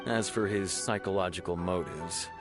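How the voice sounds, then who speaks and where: A man acts out a stern city officer for a video game. The voice sits a bit low and lays things out formally and matter-of-factly. Music is clearly heard underneath. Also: normal speed, natural voice. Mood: exasperated, explaining. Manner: formal, explanatory, matter-of-fact. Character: stern, dutiful male city police officer. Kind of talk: video game character dialogue.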